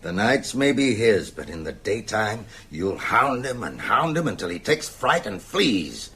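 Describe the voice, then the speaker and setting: A man's voice: lowish voice, very animated, measured pace, pushed and bright, slightly rough, exaggerated voice. An actor playing a bedridden, shrewd man who urges on vampire hunters with emphatic, oratorical speech in a radio drama. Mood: rousing, insistent. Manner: emphatic, rhetorical, oratorical delivery. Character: bedridden male vampire hunter, shrewd, commanding, urgent strategist.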